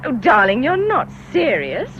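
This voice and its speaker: Spirited, affectionate British wife, high animated voice, radio play, noisy muffled recording. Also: female voice, unhurried, exaggerated voice. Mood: teasing. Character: spirited, independent-minded young wife, affectionate and teasing. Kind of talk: radio drama acting.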